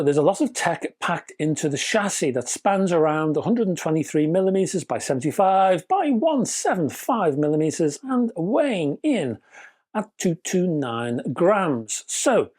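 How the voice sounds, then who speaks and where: A man reviewing audio gear, talking with a lively, wide-ranging pitch. He sounds emphatic and wry and drifts into opinionated mini rants. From Britain. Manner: animated, emphatic, wry, British turns of phrase. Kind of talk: scripted product review with opinionated asides.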